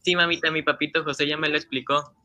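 A man's voice: fairly high pitch, little pitch movement, quick pace, pushed and bright, no echo, muffled recording. A man with a Peruvian accent playing an eager, talkative schoolboy in an amateur radio drama, earnestly reciting to his parents. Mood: earnest, chatty. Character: Juancito, eager, talkative, obedient schoolboy son. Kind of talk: amateur Spanish-language radio drama acting.

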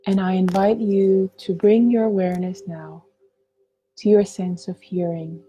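Slow, very mellow woman guiding meditation. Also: medium pitch, steady tone, a little hiss or hum, poor audio. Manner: gentle, soothing, unhurried with long pauses. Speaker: meditation guide. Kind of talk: guided meditation narration.

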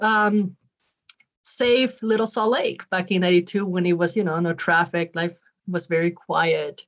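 A woman's voice: lowish voice, dull audio. A woman in her forties from Ecuador, a nonprofit leader chatting as a podcast guest, upbeat with frequent ums and restarts. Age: 40s. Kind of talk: podcast interview.

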